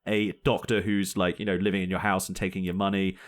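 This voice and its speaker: A man's voice: deep voice, flat delivery, fast. A man co-hosting a casual podcast chat, rambling and joking with frequent laughs. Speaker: podcast co-host.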